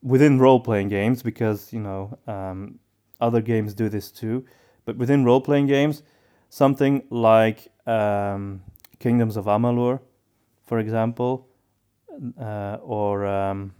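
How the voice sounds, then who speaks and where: A man co-hosting a podcast in casual discussion, with halting phrasing that often doubles back on words. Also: fairly low pitch, fairly even intonation, warm tone, smooth. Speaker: podcast co-host.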